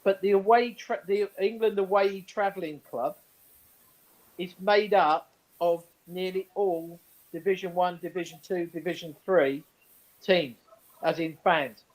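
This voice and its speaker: A man with a noticeably high-pitched voice for a male speaker. He talks as a sports show panellist in casual, teasing banter. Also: fairly even intonation, a little slow, rough recording.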